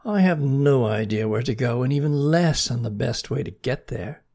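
Casting: fretful slug fellow for a storybook, acted by a narrator, gravelly, hesitant and a bit grumpy. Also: medium pitch, lively intonation, moderate pace, warm tone. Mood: worried. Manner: hesitant, sighing, snappish. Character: worried, grumpy, indecisive male slug.

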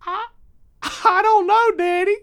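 A man's voice: very high voice, fairly even intonation, slow, a bit bright, voice pushed up, heavily acted. A man voicing an eager, chatty ten-year-old American boy in a comic story, wide-eyed and emphatic. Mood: bewildered awe.

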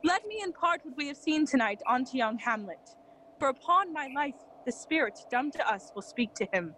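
A woman's voice: sits high, expressive, moderate pace, a bit bright, slight background noise, rough recording. A woman playing a loyal, skeptical young scholar awed by a ghost, in theatrical Shakespearean verse for an audio play. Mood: resolved. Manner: theatrical Elizabethan verse delivery.

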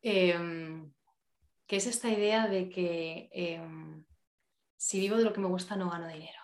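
A woman's voice: on the low side, steady tone, a little slow, echoey room. A woman from Spain, a podcast guest speaking in an earnest, encouraging way. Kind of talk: casual podcast interview.

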